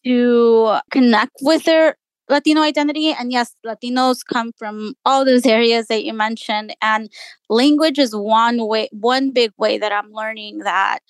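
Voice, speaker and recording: A woman's voice: fairly high pitch, steady tone, measured pace, smooth, dry recording. A woman journalist whose first language is Spanish, speaking earnestly in a radio interview and thinking aloud with many restarts. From United States. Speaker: journalist guest.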